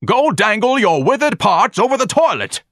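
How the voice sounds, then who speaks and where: A man's voice: a little high, sing-song, moderate pace, a bit bright, no room sound, studio quality, pitched above the performer's own voice, heavily acted. A man voicing a pompous, outraged man with bombastic, over-formal speech in an audiobook. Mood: furious bellowing. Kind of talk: audiobook character acting.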